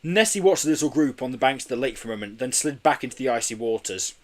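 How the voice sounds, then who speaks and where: Animated man reading a children's storybook. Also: medium pitch, quick pace. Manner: expressive, occasional stumbles. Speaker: audiobook reader.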